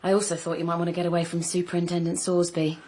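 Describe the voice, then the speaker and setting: An actress playing a considerate TV production worker, speaking hesitantly and confidentially in a radio drama. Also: female voice, fairly low pitch, flat delivery, fast, dark tone, close to an everyday voice. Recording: noticeable background noise.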